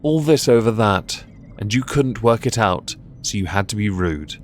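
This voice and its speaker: A man's voice: on the low side, expressive, normal speed, slight background noise. A man hosting a commentary on viral clips, talking in a sardonic, scolding way. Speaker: commentary show host.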